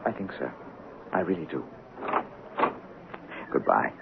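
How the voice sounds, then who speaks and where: A low, hesitant male writer, whose voice rises and falls widely as he stammers politely. It sounds like an old radio play, thin as a phone line with a faint hiss behind it. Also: sing-song, takes it slowly, creaky, exaggerated voice. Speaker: Robin Graves, a writer. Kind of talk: 1970s radio drama acting.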